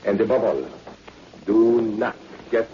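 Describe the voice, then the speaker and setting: An actor playing a commanding, coldly calculating crime boss in 1940s radio drama style. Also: male voice, on the low side, lively intonation, slow pace. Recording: dull, noisy old-time radio recording.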